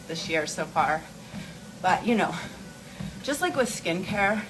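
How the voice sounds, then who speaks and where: A woman's voice: fairly low pitch, background noise. A woman hosting a casual livestream, answering viewer questions in a rambling, informal, explanatory way while walking on an inclined treadmill. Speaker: beauty and wellness livestream host.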